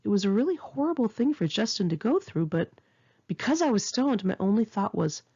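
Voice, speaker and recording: A woman's voice: mid-range voice, sing-song, brisk, warm tone, slightly rough, muffled recording. A woman narrating her own first-person memoir like an audiobook, with dramatic, sarcastic and indignant storytelling. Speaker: author reading own memoir aloud.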